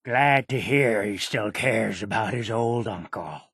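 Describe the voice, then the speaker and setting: An actor playing a stubborn, ornery old hermit uncle with a gravelly, raspy voice in a video game. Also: male voice, on the low side, unhurried, a bit bright, no room sound, clean studio sound, exaggerated voice. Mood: softened, wary. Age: elderly. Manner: ornery, sarcastic, indignant. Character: stubborn, cantankerous old hermit uncle guarding his homestead. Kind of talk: video game character dialogue.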